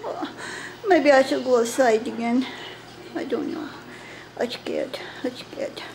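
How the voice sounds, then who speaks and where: A woman's voice: fairly high pitch, slow pace, slight background noise, low-quality recording. A woman voicing an anxious young male dog who talks in a whiny, childlike, pleading way. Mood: scared, pleading. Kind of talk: pet voice-over monologue.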